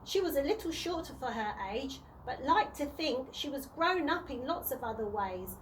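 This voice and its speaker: A woman reading a children's storybook aloud in a deliberate way, sometimes stumbling and rereading words. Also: sits high, lively intonation, a little hiss or hum, rough recording. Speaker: early years practitioner reading to children.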